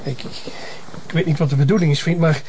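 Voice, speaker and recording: An actor playing a frightened older lawyer with a Flemish accent, stammering and pleading. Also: male voice, a little high, steady tone, quick pace, a little mellow, slight background noise, lightly acted. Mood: alarmed. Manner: stammering, flustered. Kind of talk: Flemish television crime drama acting.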